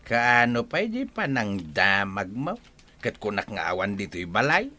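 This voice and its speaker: A man playing a gruff father in an amateur audio drama, with an Ilocano accent. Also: fairly low pitch, big pitch swings, unhurried, bright, forceful tone, full character voice. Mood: stern.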